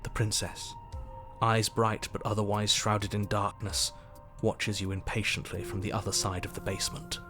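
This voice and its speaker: A man performs a low, stern narrator for a dark fairytale horror game. The delivery is deliberate and literary, exacting and easily irritated, with clear music underneath. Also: flat delivery, natural voice. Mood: ominous. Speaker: narrator of a horror visual novel. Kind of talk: scripted narration.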